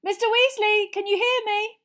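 A woman's voice: very high voice, very animated, a little slow, pitched above the performer's own voice, full character voice. A woman voicing an earnest, polite young teenage boy wizard for a storybook, explaining things. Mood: calling out, explaining.

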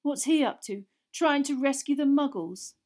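A woman's voice: sits high, animated, moderate pace, darkish tone, pitched above the performer's own voice. A woman reading aloud and voicing a sneering teenage schoolboy wizard with a lazy drawl.

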